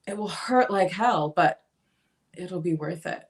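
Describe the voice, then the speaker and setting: A woman's voice: lowish voice, unhurried. A woman playing a blunt, free-spirited, opinionated sister in a dramatic play reading. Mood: insistent, preachy. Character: blunt, free-spirited sister pushing self-liberation on her sibling.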